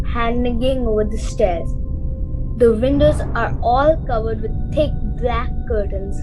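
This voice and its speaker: Slow, measured woman storyteller. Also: on the high side, poor audio. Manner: measured, descriptive. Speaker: narrator. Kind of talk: scripted storytelling narration. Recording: music under the narration.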